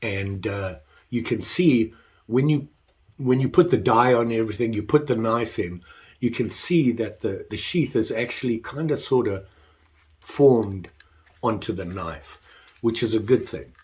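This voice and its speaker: A man's voice: fairly low pitch, a little slow, darkish tone. Congested older man, self-mocking. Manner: self-deprecating, rambling with restarts. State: congested, getting over the flu. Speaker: leatherwork vlogger. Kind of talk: casual workshop chat.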